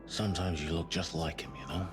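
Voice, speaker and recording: An actor playing a middle-aged veteran astronaut, a reflective family friend, speaking gently in a hushed, gravelly voice in an intimate game scene. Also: male voice, extremely low pitch, fairly quick, over music. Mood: fond. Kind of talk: cinematic game dialogue, intimate.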